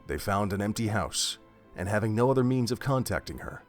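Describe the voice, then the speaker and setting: A man narrating a scripted story in a measured, steady way. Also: on the low side. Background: faint music.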